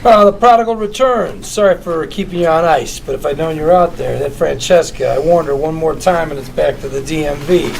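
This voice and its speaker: A glib, jittery male lawyer wheedles and hedges in a slightly high, creaky voice. Clear room noise surrounds the reading. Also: brisk, hardly any pauses. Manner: wheedling patter full of hedging stammers. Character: glib, nervous, fast-talking male lawyer. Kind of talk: actor at a script table read. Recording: noisy room ambience.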